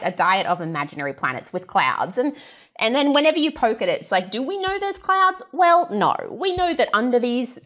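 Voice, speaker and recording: A woman speaking as a guest scientist, explaining things in an informal, animated conversational way and acting out imagined remarks. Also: on the high side.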